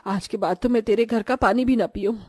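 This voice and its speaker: A woman's voice: fairly high pitch, brisk, darkish tone, no room sound, faint music behind, lightly acted. A woman voicing a rude, complaining village auntie in a cartoon story, exclaiming and mocking. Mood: disgusted.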